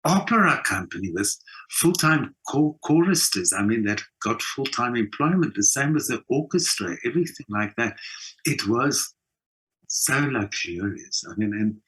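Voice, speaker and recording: A middle-aged South African man reflecting in an interview, speaking emphatically and haltingly, often repeating words. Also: mid-range voice, big pitch swings, a bit bright, breathy edge, rough recording. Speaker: interview guest, actor and singer.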